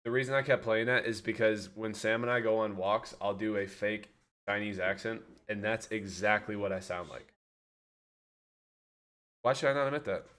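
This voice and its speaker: A man's voice: lowish voice, fairly even intonation, moderate pace, a bit bright. Chatty man hosting a livestream. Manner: chatty, rambling, addresses viewers directly. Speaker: livestream host. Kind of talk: casual livestream chat.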